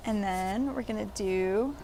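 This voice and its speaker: A woman's voice: medium pitch, unhurried, gravelly, warm tone, slight background noise. A woman leading a children's library craft, explaining each step simply and in a friendly way.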